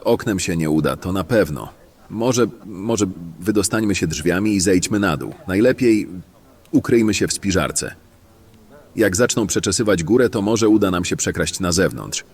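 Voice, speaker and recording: Man playing a low, husky, cynical bandit. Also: animated, normal speed, exaggerated voice. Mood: unsure, thinking. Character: gruff, cynical, calculating male bandit in a fantasy world. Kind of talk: fantasy audio drama acting.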